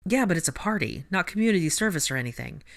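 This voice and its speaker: A woman's voice: fairly low pitch, animated, fast talker, gravelly. A woman voicing an earnest, slightly awkward young wizard, close to her own voice.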